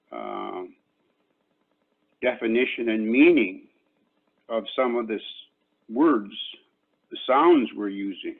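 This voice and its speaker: An older man whose first language is Cree speaks very slowly and deliberately, stopping often between thoughts. His voice sits at an everyday middle pitch. It comes through a thin, phone-like video call line. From Canada. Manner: slow and measured, with many pauses and fillers. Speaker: Elder and invited speaker. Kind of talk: reflective talk at an online panel. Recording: tinny phone-like call audio.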